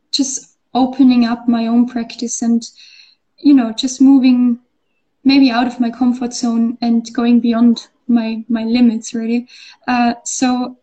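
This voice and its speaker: A woman from Austria speaks as the guest in a relaxed interview. She pauses often and restarts her sentences. Her voice is mellow and fairly high, but her pitch stays quite even. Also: low-quality recording. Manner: hesitant, many fillers and restarts. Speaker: artist interviewee. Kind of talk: conversational interview.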